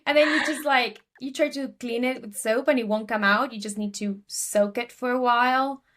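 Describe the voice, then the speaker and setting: Argentinian-accented woman guest, loose chatty delivery, higher pitch. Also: steady tone, moderate pace, a bit bright. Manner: chatty, many fillers and restarts. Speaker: podcast guest. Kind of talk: casual interview talk.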